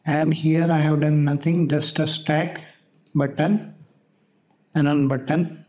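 A man narrating a software tutorial in halting, step-by-step fashion as the host. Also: a little high, little pitch movement. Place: voice-over for a screen recording.

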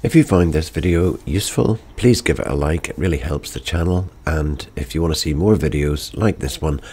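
A man, a video editing tutor, calmly walking step by step through a software tutorial over a screen recording, his voice slightly croaky after the flu. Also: a bass voice, normal speed. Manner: calm, methodical step-by-step explaining.

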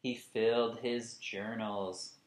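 A man in his thirties reading a picture book to young children expressively, with emphatic, deliberate delivery and explanatory asides. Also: fairly low pitch, little pitch movement. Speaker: children's read-along host. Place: small indoor room at home. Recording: echoey room, camera at a distance.

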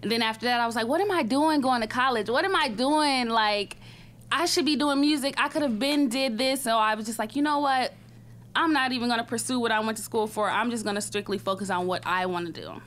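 A young woman from Wisconsin, a recording artist, chatting casually as a studio interview guest with a relaxed, matter-of-fact manner. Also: fairly high pitch, fairly quick. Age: young adult. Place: podcast studio with a large window. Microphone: studio boom mic, headphones.

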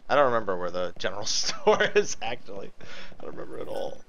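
A man's voice: on the low side, big pitch swings, fast talker, creaky, a bit bright, a little hiss or hum. A man giving casual live video game commentary, thinking aloud and swearing as he chats with viewers. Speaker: livestream host playing a video game. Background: game music.

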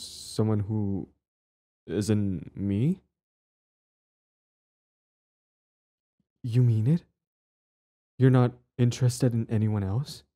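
A man's voice: medium pitch, mellow. A young man acting a possessive, lovestruck bully in an intimate boyfriend audio roleplay, speaking earnestly with hesitant stammers. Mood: hopeful, flustered.

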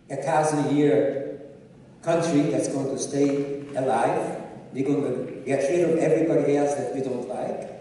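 An older man from Czechoslovakia whose first language is Hungarian, telling his life story as a guest speaker on an auditorium stage, in a measured storytelling way that speaks straight to the audience. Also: a little high, warm tone, slight background noise. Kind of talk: personal testimony talk. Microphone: handheld mic, recorded from audience. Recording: distant echoey auditorium recording.

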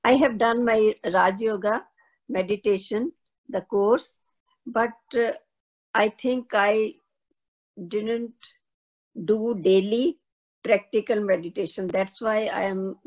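A woman taking part in a workshop, asking earnestly in slightly halting phrasing. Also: mid-range voice, steady tone, darkish tone, low-quality recording. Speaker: workshop participant. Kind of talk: asking a question in a group call.

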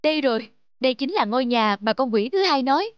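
High-voiced eager young labourer played by a woman. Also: lively intonation, fast talker, dry recording, muffled recording. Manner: polite, earnest. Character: eager, polite young male labourer hatching plans. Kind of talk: cartoon dub acting.

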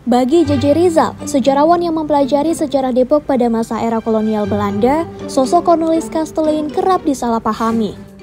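A woman giving scripted, measured documentary narration as a voice-over. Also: high voice. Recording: voice-over with background music.

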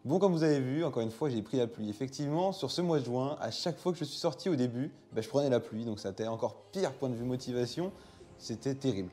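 Mellow young man narrating a recap. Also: mid-range voice, fast talker. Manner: relaxed, recaps events for viewers. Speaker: vlogger. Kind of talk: voice-over narration. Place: indoors at home. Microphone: lapel mic.

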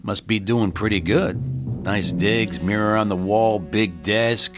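Low-voiced, taunting American tough guy played by an actor. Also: unhurried, music in the background, lightly acted. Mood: sardonic, cool. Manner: sardonic, needling, tough-guy delivery. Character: menacing, taunting wise-guy man with a gangster's swagger. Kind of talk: radio drama acting.

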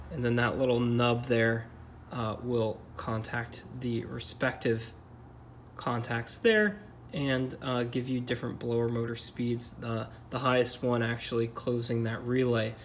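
Male DIY car vlogger, average pitch, hesitant and matter-of-fact, casual workbench demo. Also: vocal fry, a little hiss or hum. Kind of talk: casual hands-on explanation to camera.

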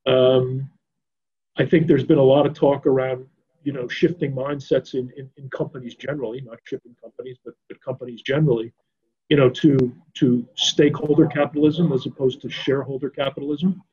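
An older man talks through his views in an unscripted online business discussion. His voice is mellow and a little husky. He goes in a measured, halting way, repeating words, over slightly muffled video-call sound. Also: mid-range voice, steady tone.

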